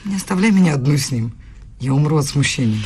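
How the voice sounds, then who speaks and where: An actress playing an earnest, proud young woman student in a radio play, with a husky voice and a brisk, insistent manner. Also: low-pitched, lively intonation, dark tone, exaggerated voice. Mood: anxious, tender. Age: young adult.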